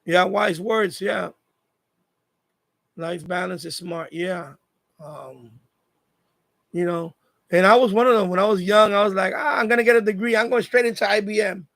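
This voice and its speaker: A man in his fifties with a high voice that often breaks into gravelly creak. He chats casually like a live stream host, circling back over phrases to drive advice home. The sound is close and dry. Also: normal speed, pauses often, fairly bright tone, dry recording. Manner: repeats phrases for emphasis, advice-giving. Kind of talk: casual live chat monologue.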